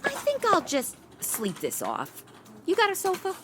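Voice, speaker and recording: A woman's voice: very high voice, wildly swooping pitch, measured pace, noisy background, full character voice. An actress playing a tipsy, flippant, blunt woman in a comedy audio drama. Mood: tipsy, sardonic.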